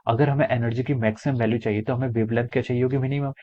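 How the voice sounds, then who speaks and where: A man's voice: medium pitch, fairly even intonation, racing, dark tone, highs cut off. A man with an Indian accent tutoring students step by step, switching between Hindi and English and often asking questions he then answers himself. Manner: repetitive, frequently asking and answering own questions. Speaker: physics tutor. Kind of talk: step-by-step problem-solving lesson addressed to students.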